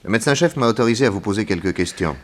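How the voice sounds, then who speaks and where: A man playing a courteous, probing private detective in a 1960s French radio mystery, with measured questioning. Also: fairly low pitch, animated, slight background noise, natural voice. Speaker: lead actor in a detective serial.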